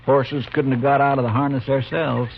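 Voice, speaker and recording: A simple, folksy cowboy sidekick played by a man, deferential and naive, with a medium-pitched voice. The old radio western sound is muffled and clearly noisy. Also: level tone, moderate pace, lightly acted. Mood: plain, puzzled. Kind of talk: 1950s radio western acting.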